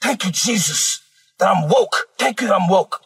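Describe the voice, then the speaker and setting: High-voiced shouting fervent male preacher. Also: lively intonation, normal speed, vocal fry, husky. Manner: asks and answers his own questions. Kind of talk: fiery sermon.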